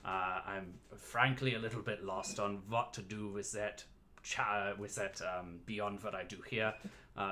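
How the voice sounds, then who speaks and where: A man's voice: medium pitch, pushed and bright. A man hosting a casual livestream as a playful bartender, chatting with theatrical showman patter. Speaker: livestream host mixing cocktails as "dungeon barkeep".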